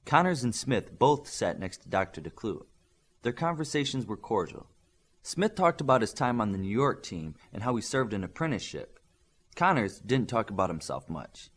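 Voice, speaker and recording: A man's voice: on the low side, fairly bright tone. A man reading a scripted fiction story as an audiobook narrator.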